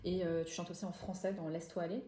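Woman podcast host, lowish voice, mellow, hesitant, echoey room. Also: flat delivery, quick pace. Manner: hesitant, many restarts and fillers. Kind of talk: interview questions.

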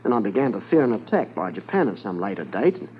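An actor playing an evasive gold smuggler in a 1950s radio drama, polite, formal and full of self-justification. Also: male voice, lowish voice, big pitch swings, fast, a little hiss or hum. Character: smooth-talking, evasive male gold smuggler under questioning. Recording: tinny 1950s radio transcription.

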